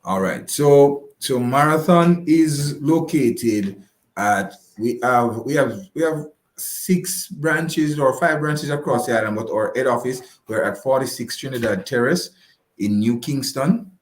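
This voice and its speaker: A man with a Jamaican accent giving explanatory interview answers as a financial advisor, halting and repeating phrases for emphasis. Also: mid-range voice. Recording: roomy, echoing voice pickup.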